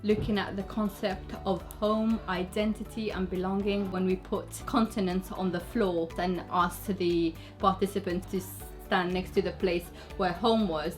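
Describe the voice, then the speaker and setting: A woman, a programme organiser, speaking fluently and explaining. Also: medium pitch. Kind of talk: interview narration.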